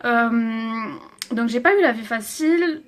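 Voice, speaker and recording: A woman's voice: fairly high pitch, takes it slowly. A woman in her twenties from Toulouse, telling her personal story on a live stream, hesitant with many fillers and close to tears. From France. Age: 20s. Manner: hesitant, many fillers, laughs and reads viewer comments. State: emotional, near tears. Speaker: livestreamer.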